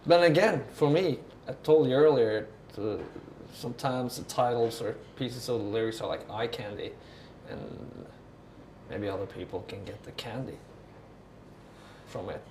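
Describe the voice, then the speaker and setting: A man's voice: medium pitch, measured pace, darkish tone, reverberant, a little hiss or hum, rough recording. A man with a Norwegian accent talking casually in an interview, musing in a rambling, sweary way. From Norway.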